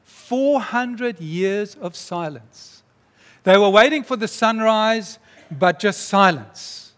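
A high-pitched male pastor delivers an earnest, emphatic sermon. His voice carries a long reverb, the sound of a big church hall. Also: animated, slow pace, a bit bright.

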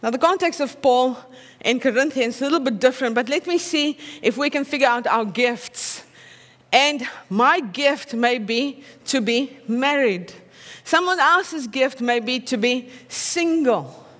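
A woman's voice: high-pitched, animated, unhurried, a bit bright, in a large hall. A woman with an Afrikaans accent preaching a sermon in a conversational way, often checking in with her listeners through tag questions.

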